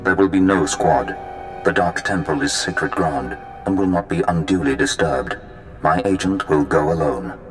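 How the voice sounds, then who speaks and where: An actor playing an imperious, cold Sith lord in a video game cutscene, formal and solemn. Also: male voice, very deep voice, moderate pace. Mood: cold, commanding. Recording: cavernous echo, music underneath.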